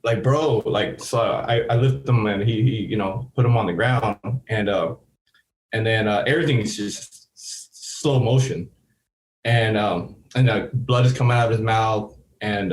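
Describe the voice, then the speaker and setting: Man, from Seattle, rambling casual storyteller, lots of restarts, roomy echo. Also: lowish voice. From Seattle, USA. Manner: rambling, repeats words, many fillers. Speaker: podcast guest, entrepreneur.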